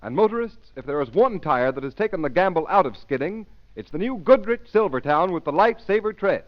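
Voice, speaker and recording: Old timey radio ad guy, highish voice, swoopy emphatic sales read, 1930s sound. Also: animated, fairly quick. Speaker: radio announcer. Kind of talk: 1930s radio commercial announcing, scripted. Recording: muffled 1930s radio recording.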